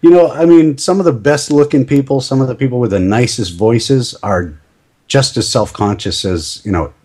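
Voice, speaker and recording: A man's voice: medium pitch, moderate pace, mellow, slightly rough. A middle-aged man talking casually as a podcast guest, chatty and self-deprecating, laughing at himself often. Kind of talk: casual interview chat.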